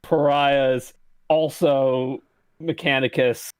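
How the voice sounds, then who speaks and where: A man's voice: mid-range voice, flat delivery, slow pace, clean tone, no room sound. A man co-hosting a casual book club podcast, easygoing, laughing often. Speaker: podcast co-host.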